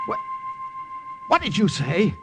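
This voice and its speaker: A man playing an excitable young American army sergeant and spy in an urgent, dramatic old radio drama style. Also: sits high, takes it slowly, vocal fry, music in the background, exaggerated voice. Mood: frantic, urgent. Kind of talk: 1940s-50s radio drama acting and narration. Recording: noisy old-time radio recording.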